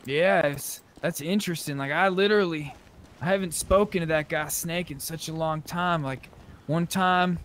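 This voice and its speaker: Man, slightly high-pitched, casual roleplay chat, game voice chat, faint background noise. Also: normal speed, a bit bright.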